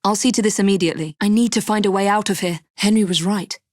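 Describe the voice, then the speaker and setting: Video game lead, played by a woman: young English Victorian assassin, genteel, brisk, resolute, drily witty. Clean, dry booth recording. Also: mid-range voice, fairly even intonation, no room sound, natural voice. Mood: focused. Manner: crisp, formal period phrasing, dry wit. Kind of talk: video game character voice lines.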